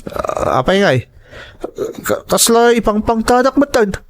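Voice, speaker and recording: Man playing a defiant, high-pitched son-in-law. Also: sing-song, unhurried, exaggerated voice. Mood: defiant, uneasy. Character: defiant, shifty son-in-law being confronted. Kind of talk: dramatized audio drama acting.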